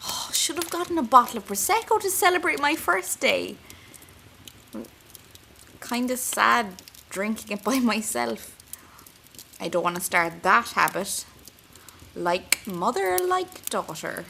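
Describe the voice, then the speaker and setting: A woman's voice: medium pitch, bright, forceful tone, a little hiss or hum. A woman with an Irish accent playing an anxious, wry young intern confiding in her diary in an audio drama. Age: young adult. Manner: intimate, wry, self-mocking.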